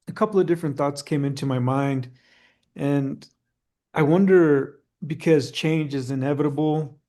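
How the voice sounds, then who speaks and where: A man, a student, asks a question in an online class over a video call. He searches for his words and builds his thought slowly. His voice is dark and mellow. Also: medium pitch.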